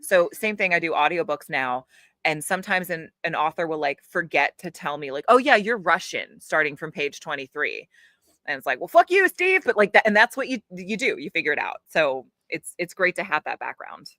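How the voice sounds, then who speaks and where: A woman's voice: on the low side, sing-song, fairly quick, bright, forceful tone, dry recording. A woman guest, an actor and audiobook narrator, telling stories frankly and with plenty of swearing. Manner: frank, profane, storytelling. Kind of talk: casual interview chat.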